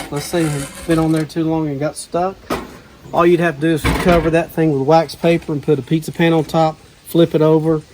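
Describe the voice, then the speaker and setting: A man's voice: on the high side, background noise. A man, hosting a home cooking show and walking viewers through making pizza in a folksy, instructive way.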